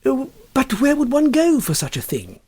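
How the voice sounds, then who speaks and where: A man voicing a prim, fretful, middle-aged gentleman in a storybook reading, speaking with fussy precision. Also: very high voice, huge pitch swings, normal speed, breathy edge, pitched above the performer's own voice, heavily acted. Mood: puzzled. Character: fussy, staid, easily upset middle-aged English gentleman.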